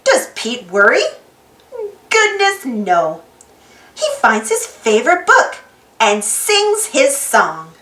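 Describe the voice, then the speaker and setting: A woman narrating a children's storybook aloud in an expressive, sing-song way for young listeners. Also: on the high side, slow pace. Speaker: storybook reader and channel host. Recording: roomy echo with faint music. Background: faint music.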